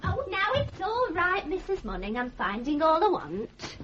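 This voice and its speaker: An actress playing a cheeky, outspoken young maid with a Northern English accent in a radio drama, pertly answering back. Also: female voice, very high voice, huge pitch swings, unhurried, fairly bright tone, dull audio, a little hiss or hum, full character voice. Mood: breezy. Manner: pert, answering back, blunt. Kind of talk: 1980s British radio drama acting.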